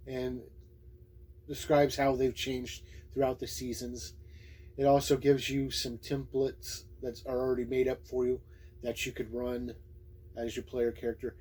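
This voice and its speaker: A man's voice: mid-range voice, dark tone. A man giving a casual, unscripted review in a folksy, plain-spoken, laid-back way. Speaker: tabletop game book reviewer.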